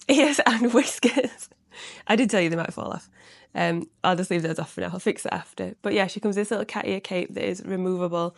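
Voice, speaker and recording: Slightly croaky woman chatting casually. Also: medium pitch, dry recording, studio quality. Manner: chatty and rambling, lots of "like". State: slightly croaky from recent illness. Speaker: crochet vlogger. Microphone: close microphone.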